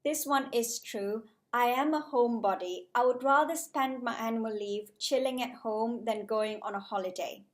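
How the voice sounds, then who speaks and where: A woman in her twenties from Malaysia, a junior doctor talking to her viewers in a measured, carefully prepared vlog style. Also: a little high. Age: 20s. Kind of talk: scripted-sounding casual vlog talk to camera.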